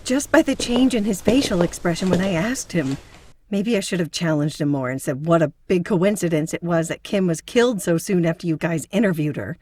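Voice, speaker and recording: A woman's voice: fairly low pitch, brisk, dark tone, a little hiss or hum, lightly acted. A woman playing an anxious but assertive feminist psychotherapist in an audio drama, with an earnest manner. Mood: earnest, worried.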